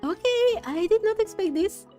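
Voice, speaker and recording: A woman streaming a game playthrough, reading dialogue aloud and musing on it thoughtfully, with pauses mid-phrase. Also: very high voice, lively intonation, a little mellow. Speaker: gaming streamer. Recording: dry, faint game music behind.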